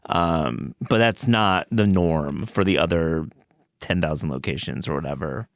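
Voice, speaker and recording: A man hosting a podcast, talking casually and thinking aloud in a measured way. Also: rumbling low, vocal fry, dry recording, clean studio sound. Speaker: podcast host.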